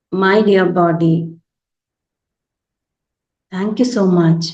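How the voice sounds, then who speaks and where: Kannada accent lady doing guided meditation, calm n slow w long pauses, echoey kinda muffled recording. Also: lowish voice. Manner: calm, unhurried, gently instructing with long pauses. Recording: dull, echoey lo-fi room sound.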